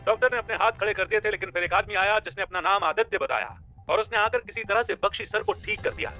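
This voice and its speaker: Man acting dramatic high-pitched female news anchor. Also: quick pace, pushed and bright, faint music behind, rough recording. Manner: dramatic, heightened. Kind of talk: sensational news report delivery.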